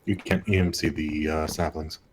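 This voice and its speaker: A man's voice: extremely low pitch, fairly even intonation, moderate pace, crowd noise. A man in a game stream's voice chat, making dry, matter-of-fact remarks with a few fillers. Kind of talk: casual voice-chat banter.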